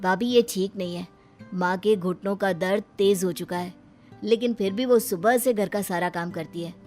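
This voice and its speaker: A woman's voice: medium pitch, moderate pace, a little mellow, soft background music, lightly acted. A woman voicing an earnest, frustrated young man in a cartoon family story, pleading and indignant on his mother's behalf.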